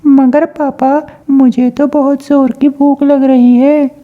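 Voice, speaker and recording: A tiny cartoon girl bird, played by a woman, with a high voice and slow delivery. She sounds childlike, innocent and polite. The recording is a bit dull. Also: flat delivery. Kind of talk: Hindi cartoon voice acting.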